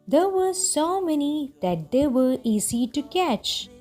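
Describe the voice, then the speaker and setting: Measured woman reading a story aloud. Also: sits high, big pitch swings, warm tone, faint music behind. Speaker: narrator of English learning read-aloud lessons. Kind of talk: scripted storybook read-aloud for language learners.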